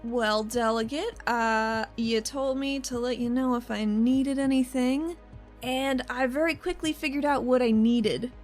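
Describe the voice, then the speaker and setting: An earnest female handler in a roleplaying game, acted by a woman. She explains herself frankly and haltingly in casual words. The voice is on the high side, with quiet music behind. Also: fairly even intonation, close to an everyday voice. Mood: earnest, awkward. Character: earnest, frank female handler defending her troublesome partner.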